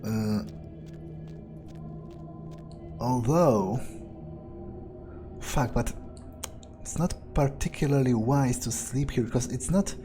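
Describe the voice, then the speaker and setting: A man roleplaying a weary, wary, sardonic vampire in a video game, thinking aloud in a halting, sweary mutter. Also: mid-range voice, over music, close to an everyday voice. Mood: wry. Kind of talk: in-character improvised gameplay monologue.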